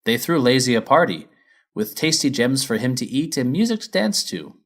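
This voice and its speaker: A man's voice: medium pitch, big pitch swings, normal speed, fairly bright tone. A man reading a children's story as its narrator, in a scripted storybook style.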